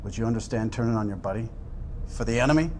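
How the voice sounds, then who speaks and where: A man's voice: mid-range voice, fast talker, a little mellow, slight background noise, natural voice. A man acting a bitter, aggressive interrogator in a video game, confrontational and sardonic. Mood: bitter, needling. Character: bitter, aggressive male interrogator, accusatory and volatile.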